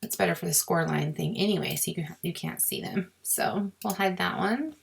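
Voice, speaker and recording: A woman with a sore, scratchy throat, explaining a crafting software task step by step in a chatty way and muttering to herself as she clicks. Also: lowish voice, normal speed. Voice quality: raspy with creaky fry. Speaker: crafting tutorial host. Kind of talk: screen-recorded software walkthrough.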